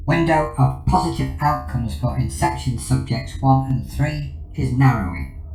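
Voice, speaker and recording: A man's voice: mid-range voice, unhurried, creaky. A man playing a formal, procedural artificial intelligence in a sci-fi audio drama, speaking in clipped statements. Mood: formal, warning. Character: coolly formal artificial intelligence advisor named Cognos. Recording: roomy echo, loud music behind.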